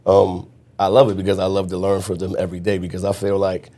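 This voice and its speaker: A man being interviewed as a mentor, talking in an earnest, reflective way with frequent restarts and a naturally loud voice. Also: sits low, completely flat delivery, quick pace. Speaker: guest mentor. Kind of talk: conversational interview.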